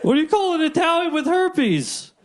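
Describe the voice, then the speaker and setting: A man's voice: extremely high pitch, very animated, moderate pace, over a noisy crowd. A man playing a brash, corny Italian-stereotype comedian on stage, delivering catchphrase-heavy jokes. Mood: brash, hammy. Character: brash corny Italian-stereotype male joke-telling character. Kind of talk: stand-up character comedy. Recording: noisy live club, loud audience.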